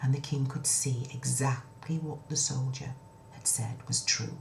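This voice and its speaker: A woman's voice: a bass voice, fairly even intonation, unhurried, creaky, a little mellow, echoey room, slight background noise. A woman telling a children's story in a dramatic, theatrical way, repeating words for suspense.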